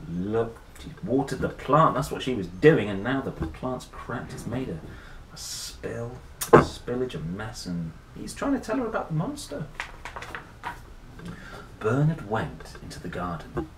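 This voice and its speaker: A man in his thirties reading a picture book with playful, chatty asides and dramatic pauses. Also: mid-range voice, big pitch swings. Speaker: storyteller and host. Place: small wooden room or shed. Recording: roomy echo, faint background noise.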